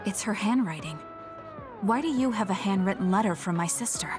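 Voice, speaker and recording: A woman's voice: mid-range voice, expressive, brisk, over music, natural voice. Terse, guarded young game heroine played by a woman. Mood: cool, questioning. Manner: terse, restrained. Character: reserved, serious young female soldier, cool and guarded. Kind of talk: English anime game dub acting.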